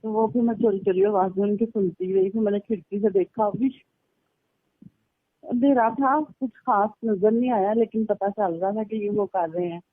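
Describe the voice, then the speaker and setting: A woman's voice: mid-range voice, fairly even intonation, normal speed. Woman, casual storyteller on a recorded phone call; tinny, narrow sound, dry with no room echo. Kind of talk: casual phone chat, recounting personal stories. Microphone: telephone line.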